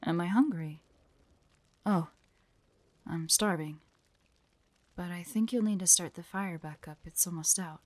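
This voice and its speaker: A woman acts out a proud, wary young female hunter in an audio drama. Her voice sits fairly low and moves at an unhurried, deliberate pace. She is terse and prickly, often hushed, and sounds close and dry. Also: dry recording. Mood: wary, puzzled. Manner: terse, suspicious, prickly, with breathy hushed asides. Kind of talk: dramatic audio roleplay, addressed to an unheard listener.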